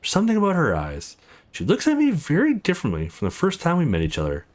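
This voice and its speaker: A man's voice: fairly high pitch, wildly swooping pitch, quick pace, darkish tone, voice pushed up. A man voicing a smitten, worn-out young man in a visual novel, musing frankly and playfully to himself. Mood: tender. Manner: musing, playful inner monologue.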